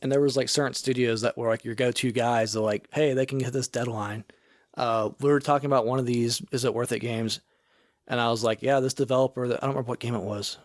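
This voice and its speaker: A man's voice: mid-range voice, steady tone, quick pace, dry recording, studio quality. A young man in his twenties co-hosting a podcast, talking in casual, slangy, enthusiastic chat. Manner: enthusiastic, slangy, heavy use of "like". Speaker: podcast co-host.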